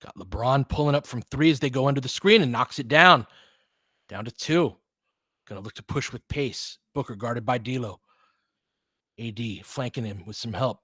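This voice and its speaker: A man's voice: medium pitch, fairly bright tone, husky, no echo. Dry-sounding man calling basketball. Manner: clipped, fragmentary play calls. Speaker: host doing fan play-by-play of a basketball game. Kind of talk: live sports commentary mixed with casual chat.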